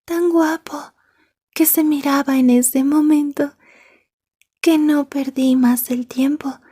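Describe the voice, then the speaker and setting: A woman's voice: high voice, fairly even intonation, slow, mellow, no room sound, clean studio sound. A woman playing an obsessive, sweetly menacing yandere schoolgirl in an ASMR roleplay, murmuring with mock-polite menace. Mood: smitten, gloating.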